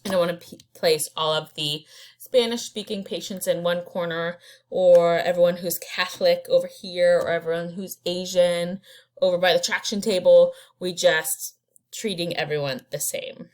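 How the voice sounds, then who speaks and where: A woman's voice: lowish voice, fairly even intonation, normal speed. A woman teaches from her slides. She keeps it conversational and unpolished, with fillers and restarts between her points. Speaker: instructor. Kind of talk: recorded slide lecture.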